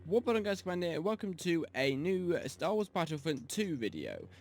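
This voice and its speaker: A young man with a sore voice from a cold, reviewing a game mod in loose, rambling talk, a little softer than usual. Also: fairly high pitch, wildly swooping pitch, moderate pace. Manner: rambling, many ums and restarts. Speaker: gaming YouTuber. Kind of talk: casual mod review commentary.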